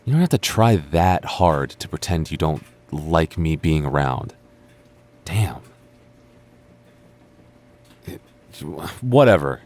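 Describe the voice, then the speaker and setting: A man's voice: a bass voice, big pitch swings, takes it slowly, long pauses, darkish tone, exaggerated voice. A young man acting as a sarcastic, teasing and stubborn childhood friend in a naturalistic boyfriend audio roleplay. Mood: irritated bickering. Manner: teasing, sarcastic, stammers when flustered. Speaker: voice actor.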